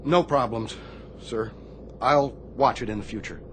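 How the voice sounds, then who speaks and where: An actor playing a troubled, deferential chief bosun's mate in a radio drama, speaking in a hesitant, guarded, formal way. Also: male voice, mid-range voice, measured pace, natural voice. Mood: uneasy, deferential. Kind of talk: radio theatre acting. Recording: clear background noise, shipboard ambience.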